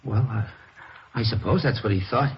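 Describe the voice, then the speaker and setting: An actor playing a nervous, evasive husband under suspicion in a 1950s radio drama, stammering and repeating himself. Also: male voice, mid-range voice, moderate pace, lightly acted. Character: nervous husband, murder suspect, evasive and increasingly frantic. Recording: dull noisy vintage radio recording.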